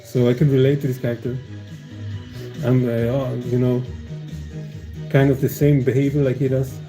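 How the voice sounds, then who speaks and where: A man's voice: mid-range voice, little pitch movement, moderate pace, mellow, faint music behind, low-quality recording. A man chatting casually on a livestream, meandering and hesitant, with many fillers and restarts and frequent chuckles. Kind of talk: casual rambling stream chat.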